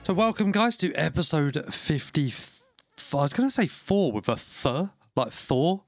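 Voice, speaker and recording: A man co-hosting a casual gaming podcast, joking and swearing through quick banter with his friend. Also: on the high side, very animated, soft background music. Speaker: podcast co-host.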